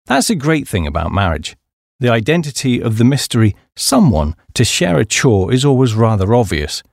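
A man's voice: on the low side, dry recording, studio quality. A middle-aged British man reading a humorous memoir as an audiobook, with a wry storytelling delivery. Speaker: audiobook narrator.

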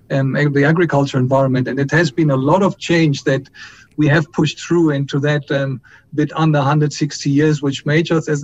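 A middle-aged man from Colombia, a company chief executive answering interview questions in a measured way, with frequent fillers and restarts. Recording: muffled line with faint rumble.

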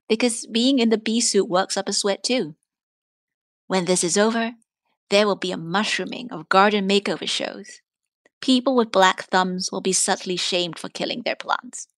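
Female reader, slightly low register, articulate and measured delivery of written prose, very little room sound. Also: fairly bright tone, dry recording, clean studio sound. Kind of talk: scripted literary reading.

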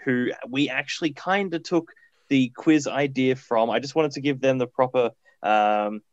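A man's voice: mid-range voice, moderate pace, dry recording. Chatty man on a dull dry mic. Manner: chatty, upbeat. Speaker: radio co-host. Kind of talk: casual radio chat, song introductions.

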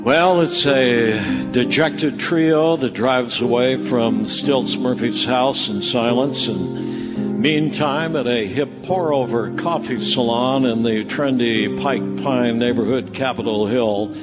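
A man's voice: mid-range voice, takes it slowly. A man announcing and narrating a scripted live radio play as its storyteller. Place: town hall stage before a live audience. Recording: live hall, loud music over voice. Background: loud music.

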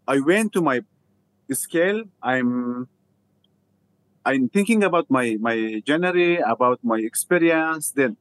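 Afghan-accented man telling his story haltingly. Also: mid-range voice, no room sound. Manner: halting, simple non-native English with repeated phrases. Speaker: interview guest, refugee theatre founder. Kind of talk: personal storytelling in an interview.

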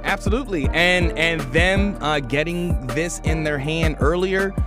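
A man with a fairly high voice hosts a podcast interview. He speaks conversationally and haltingly, doubling back on words, with music clearly audible behind him.

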